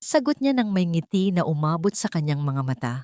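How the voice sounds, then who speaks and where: A woman's voice: on the low side, very animated, a little fast, warm tone, clear, resonant tone, no room sound, studio quality. A woman reading a scripted romance story as its narrator.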